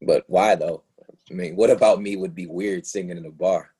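Actor as a deep, husky, deadpan male singer. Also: moderate pace. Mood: dry, teasing. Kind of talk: play reading.

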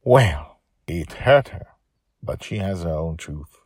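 A middle-aged man speaking as an author and podcast host, dramatic and emphatic, with deliberate pauses and repeated phrases. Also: sits low, animated. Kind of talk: emphatic solo storytelling podcast commentary and credits.

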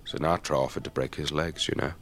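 An actor playing an elderly English retired air force officer in a radio drama, avuncular and chatty, with old-fashioned clipped politeness. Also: male voice, rumbling low, steady tone, quick pace, a bit bright, slight background noise. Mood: gossipy storytelling. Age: older.

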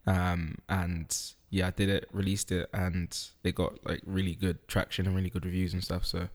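Laid-back guy, lowish voice, chatting on a podcast, lots of ums. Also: level tone. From East London, UK. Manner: easygoing, unhurried, frequent ums. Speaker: podcast guest. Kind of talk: casual interview chat.

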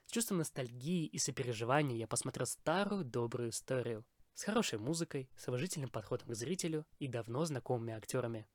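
A man's voice: medium pitch, animated, quick pace, no room sound. A man hosting a podcast, reading a scripted, opinionated film review in formal phrasing.

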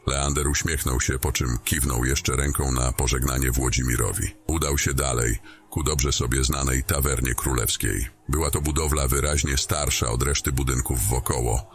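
A man narrating a fantasy audio drama in a measured storytelling way. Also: a bass voice, fairly even intonation, slightly rough. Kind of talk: scripted narration.

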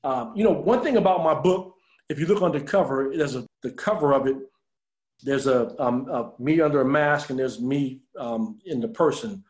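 A man's voice: medium pitch, moderate pace, darkish tone, low-quality recording. An American man being interviewed as an author, telling personal stories in a reflective, earnest way.

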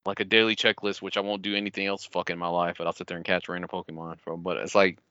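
Bright, clear-voiced man, casually swearing. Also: sits low, level tone, racing, no echo, lo-fi sound. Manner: profane, rambling, self-mocking storytelling. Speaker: podcast co-host. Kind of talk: casual gaming podcast chat.

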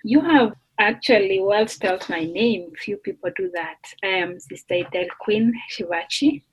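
A woman from Kenya, a doctoral student, talking slowly and thoughtfully with fillers as a guest on a remote podcast interview. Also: mid-range voice, slightly rough, rough recording. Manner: slow, thoughtful, with fillers and restarts. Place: remote call.

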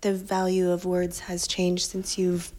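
A woman's voice: lowish voice, monotone, unhurried, darkish tone, a little hiss or hum. A woman interviewing an artist, asking long curious questions and laughing easily. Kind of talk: interview conversation.

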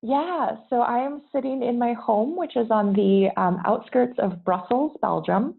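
A woman's voice: fairly high pitch, unhurried, creaky, slightly rough, phone-quality sound. An American woman telling her personal story as a podcast guest, candid and hesitant, often correcting herself as she goes. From United States. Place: at home, remote podcast call.

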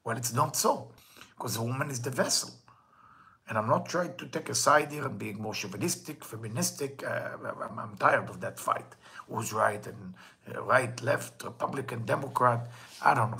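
Gravelly man with a Hebrew accent. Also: mid-range voice, moderate pace, bright, forceful tone. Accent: Israeli Hebrew. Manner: earnest, rhetorical questions, switches into Hebrew quotes. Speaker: Kabbalah teacher. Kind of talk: weekly Torah lesson.

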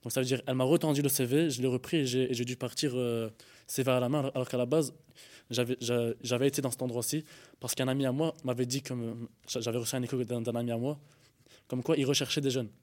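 A man's voice: mid-range voice, fairly even intonation, fast talker, darkish tone. A young man giving a hesitant personal account in a panel discussion, often stumbling and restarting his sentences. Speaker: panel guest.

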